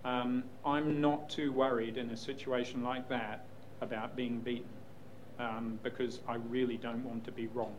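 Measured man fielding press questions. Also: mid-range voice, little pitch movement, dull audio, a little hiss or hum. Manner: measured, hesitant with many ums. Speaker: news executive answering questions. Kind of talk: Q&A panel talk.

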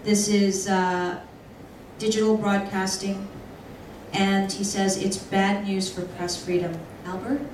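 A woman moderating a panel, measured and hesitant. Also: mid-range voice, little pitch movement, background noise. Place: panel event hall. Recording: echoey hall from far off.

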